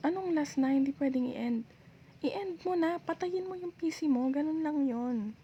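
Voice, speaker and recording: A woman's voice: high-pitched, mellow, muffled recording, a little hiss or hum. A woman playing a nagging, sulky young Filipino girlfriend who scolds and questions her partner in an ASMR roleplay. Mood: irritated, demanding. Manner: scolding, pouty, rhetorical questioning.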